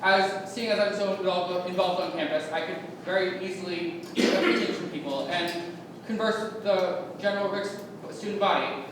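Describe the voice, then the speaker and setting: A man's voice: sits high, normal speed. A young man giving an ad-libbed campaign speech as a speaker candidate, rambling and correcting himself with a wry edge. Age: young adult. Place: large echoey meeting room. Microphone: distant room mic. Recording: echoey hall from far off, noisy.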